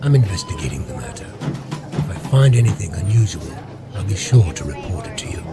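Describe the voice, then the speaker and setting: A man's voice: low-pitched, sing-song, fairly quick, creaky, loud music behind the voice, exaggerated voice. A man playing a stern, brusque hospital security chief in an audio drama, curt and officious. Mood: curt, official.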